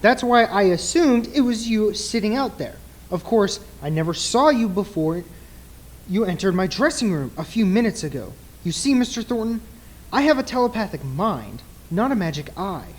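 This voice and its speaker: Casting: man to play solemn nightclub mind reader, radio drama, husky, formal, earnest and urgent. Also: sits high, sing-song. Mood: grave, insistent. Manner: formal, deliberate. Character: earnest male nightclub mentalist, grave and urgent. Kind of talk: old-time radio play acting.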